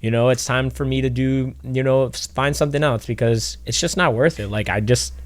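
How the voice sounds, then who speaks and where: A man co-hosting a podcast as a basketball trainer, talking loudly with lots of fillers and restarts. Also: medium pitch, fairly even intonation, a little fast, no echo. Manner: loud, rambling with many fillers and restarts. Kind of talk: casual podcast chat.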